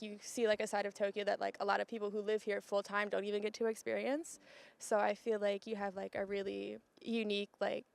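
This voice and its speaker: A woman's voice: medium pitch, gravelly, no echo. A young woman with an American accent giving casual interview answers, informal and peppering her speech with "like". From United States. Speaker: interviewee, working model.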